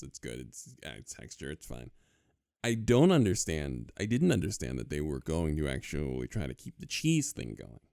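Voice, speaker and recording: A man with a very deep, smooth, resonant voice that swings widely in pitch. He co-hosts a podcast in measured, dry chat. The sound is close and dry. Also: darkish tone, no echo. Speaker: podcast co-host.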